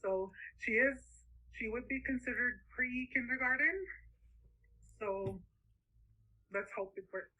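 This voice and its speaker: A woman's voice: fairly high pitch, takes it slowly, pauses often, bright, forceful tone, highs cut off. A young woman, speaking as a student teacher presenting and reading aloud. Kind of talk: informal presentation and read-aloud.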